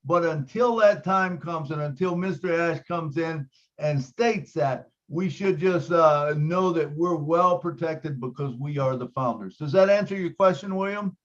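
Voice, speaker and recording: A man's voice: fairly high pitch, unhurried. A man hosting an online video call meeting, talking casually with plenty of uh and you know. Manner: relaxed, filler-heavy, hedging. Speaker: meeting host. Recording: dull lo-fi video call audio.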